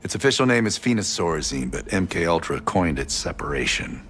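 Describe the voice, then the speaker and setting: An actor playing a cool, calculating middle-aged male CIA interrogator, measured and clinical, in a video game. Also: sits low, big pitch swings, a bit bright. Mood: reflective. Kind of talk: cinematic game acting.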